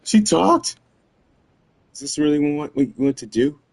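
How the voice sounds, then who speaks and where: A man casually reacting while he plays a video game, halting now and then as he speaks. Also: a little high, expressive, gravelly, breathy edge. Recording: game music behind the voice.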